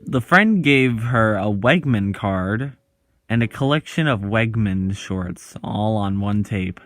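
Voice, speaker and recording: Low-voiced man narrating, slow pace. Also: expressive, fairly bright tone. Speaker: video host. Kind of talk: scripted video essay narration.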